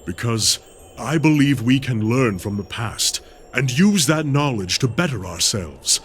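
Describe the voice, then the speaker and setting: An earnest idealist scholar in a fantasy game, lively mid-pitched voice, bit of noise behind. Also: male voice, a little slow. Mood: earnest, pleading. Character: earnest, idealistic male scholar-adventurer seeking knowledge. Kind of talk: dramatic video game dialogue.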